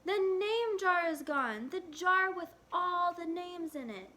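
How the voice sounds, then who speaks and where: A woman voicing an excitable young schoolboy who calls out loudly in a storybook. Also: extremely high pitch, slow pace, pitched above the performer's own voice, heavily acted. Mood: excited. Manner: excitable, calling out.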